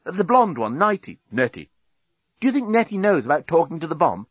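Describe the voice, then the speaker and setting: Guy doing eager lusty alien reporter guy, high and excitable, kinda husky, comic sci-fi audiobook. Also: fast, dry recording, muffled recording. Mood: gleeful, eager. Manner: exclaiming, eager, blurting. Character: excitable, lusty, cheerfully shameless male alien journalist.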